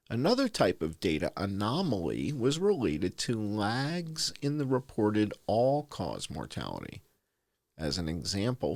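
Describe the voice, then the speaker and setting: A man hosting, reading a research report aloud in a deliberate, emphatic way. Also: on the low side, dry recording. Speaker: host.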